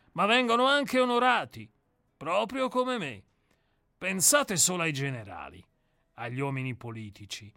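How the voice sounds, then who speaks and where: A man's voice: fairly high pitch, bright, forceful tone, dry recording, clean studio sound, heavily acted. An actor reading the part of a famous male novelist who claims to be a murderer, speaking in a lecturing, sardonic, self-assured way in a radio drama reading. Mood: scornful. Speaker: character in a radio play reading.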